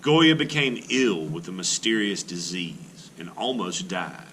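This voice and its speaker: A man's voice: low-pitched, sing-song, a little slow, fairly bright tone, a little hiss or hum. A man, an elementary art teacher, reads a picture book aloud to children with expression and breaks off now and then to add his own teacherly asides.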